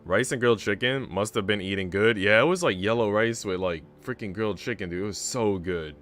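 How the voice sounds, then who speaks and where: Man, low voice, casual livestream chat, profane bro-ish slang, faint music behind. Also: a little fast, fairly bright tone. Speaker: streamer.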